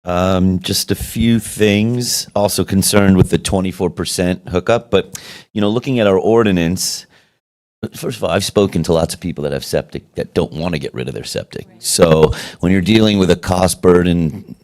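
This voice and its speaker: Deep-voiced man speaking haltingly at a meeting. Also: darkish tone. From South Florida. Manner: halting, many restarts and fillers. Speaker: city commissioner. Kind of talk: public meeting discussion.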